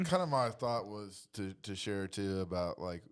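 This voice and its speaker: Low-voiced man in relaxed group discussion. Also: unhurried, clean tone. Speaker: discussion participant posing questions to the group. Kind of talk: casual group chat.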